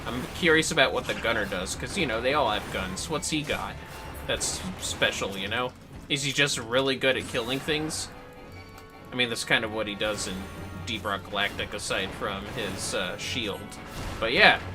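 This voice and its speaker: A man's voice: medium pitch, moderate pace. A man giving casual gaming commentary as a livestream host, muttering rambling asides to himself. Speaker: livestream host playing a game. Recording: music behind the voice.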